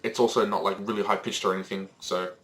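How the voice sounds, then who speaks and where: A teenage guy chatting on a casual podcast over a call, his voice sitting a touch low. He rambles through fillers and restarts and swears freely. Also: flat delivery, fast, a bit bright. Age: teens. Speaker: podcast co-host. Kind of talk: casual chat. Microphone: Skype call recording.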